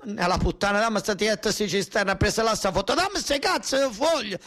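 A man's voice: high-pitched, a little fast, a bit bright, dry recording, studio quality, voice pushed up. Man playing an indignant old southern Italian peasant. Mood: furious. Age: older. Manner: coarse dialect, repetitive, exclamatory. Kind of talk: theatrical monologue acting.

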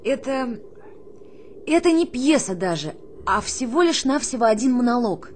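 A woman's voice: on the high side, sing-song, moderate pace, slight background noise. A woman playing a dreamy, plaintive young soldier with poetic, theatrical delivery in a radio drama. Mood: hesitant, resigned. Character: young male conscript, a dreamy, pleading, whiny would-be playwright.

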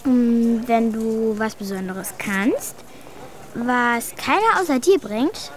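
A woman's voice: on the high side, animated, slow, slight background noise. A woman playing a plucky, stubborn young girl with a childlike, plain-spoken manner in a German children's radio play. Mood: self-assured.

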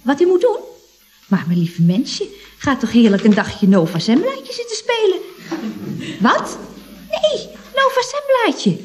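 Female secretary, radio comedy, lively intonation, gushing, breezy, teasing, mock-cosy. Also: high-pitched, unhurried, pauses often, slight background noise.

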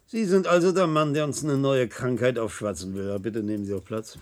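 A man playing a gruff, impatient, sarcastic hospital chief in a German radio play, brusque and blunt. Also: mid-range voice, fairly quick, close to an everyday voice.

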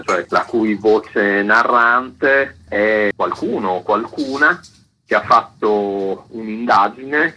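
A man being interviewed on radio as an author, explaining in a deliberate way, searching for words. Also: lowish voice, sharp tone, slight background noise, rough recording.

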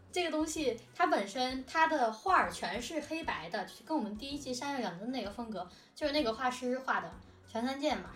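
A woman's voice: on the high side, fairly bright tone, echoey room, far from the mic. A woman chatting casually and animatedly, giggly and talkative, as one of an audio drama team. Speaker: audio drama production team member, co-host. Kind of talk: casual behind-the-scenes chat.

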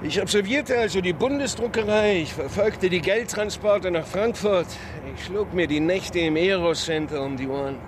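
An actor playing a talkative, obsessive whistleblowing author in a radio drama, insistent, colloquial and sardonic. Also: male voice, high-pitched, normal speed, few pauses, background noise, natural voice. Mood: sardonic, confiding.

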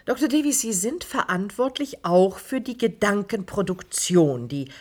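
A woman's voice: mid-range voice, lively intonation, normal speed, a little mellow, lightly acted. Courteous, inquisitive actress as female moderator. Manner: courteous, articulate, probing. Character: polite, inquisitive female science broadcast moderator. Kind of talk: radio play acting as a studio interview.

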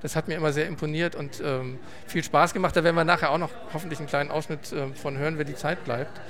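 A man's voice: on the high side, steady tone, quick pace, a bit bright. A man hosting a radio show, talking casually and hesitantly with guests, often restarting sentences. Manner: hesitant, searching for words, many restarts. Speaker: radio host.

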